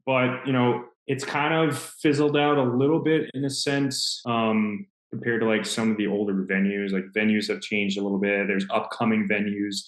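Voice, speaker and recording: Deep-voiced man with a roomy echo. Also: fairly even intonation. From Maine. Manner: easygoing, rambling, with frequent pauses and restarts. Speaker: death metal musician, interview guest. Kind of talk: casual interview answers.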